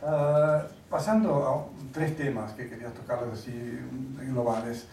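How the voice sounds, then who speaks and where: A man giving a lecture, wry and conversational, pausing often to search for words. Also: medium pitch, darkish tone, slight background noise. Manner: halting, searching for words with many fillers. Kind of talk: lecture to an audience. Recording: echoey hall, mic at a distance.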